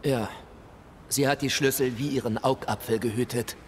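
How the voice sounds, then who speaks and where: An actor playing the embittered, polite ghost of a colonial shop clerk, with formal, theatrical delivery in a video game. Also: male voice, medium pitch, fairly even intonation, moderate pace, close to an everyday voice. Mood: earnest, bitter. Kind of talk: video game dialogue acting. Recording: hall reverb, noisy ambience.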